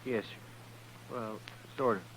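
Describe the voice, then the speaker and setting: An actor playing a meek, evasive drifting cowhand with a rural American accent in an old-time radio western. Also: male voice, mid-range voice, steady tone, slow, a bit bright. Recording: noisy old radio recording.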